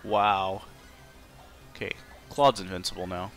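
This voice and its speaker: A man's voice: sits low, fairly even intonation, measured pace. A man giving casual, informal, sweary commentary as he plays a video game. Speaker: game streamer, player. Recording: faint game music behind.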